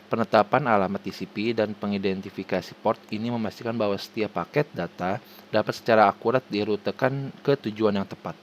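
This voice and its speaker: A man with an Indonesian accent reading a formal scripted presentation haltingly, stumbling over words and restarting them. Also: fairly low pitch, slight background noise. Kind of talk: scripted presentation read aloud.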